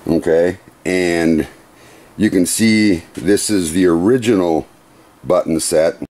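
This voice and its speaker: A middle-aged man in a workshop, walking through a machine's controls in an unhurried, methodical, plain-spoken way. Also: low-pitched, darkish tone. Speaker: CNC machine demonstrator. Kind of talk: hands-on equipment demonstration. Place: workshop beside a CNC router.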